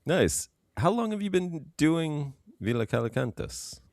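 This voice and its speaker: A man hosting a podcast, reading a measured, reflective introduction and then interviewing his guest. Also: fairly high pitch, sing-song, darkish tone, no echo, clean studio sound. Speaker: podcast host.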